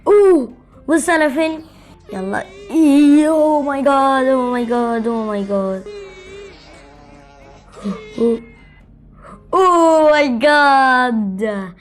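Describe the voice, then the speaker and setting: A woman's voice: high voice, animated, a little slow, long pauses, faint music behind. A woman with an Egyptian accent chatting casually and teasingly as she hosts a gaming video. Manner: playful, teasing. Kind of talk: casual chat while playing a game.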